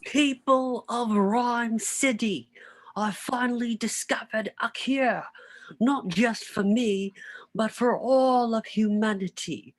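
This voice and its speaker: Woman playing a very slow, grandiose villain. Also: medium pitch, no echo. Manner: slow, solemn speechifying. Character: grandiose villainous tycoon, calm and messianic. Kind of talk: amateur online table read of a film script.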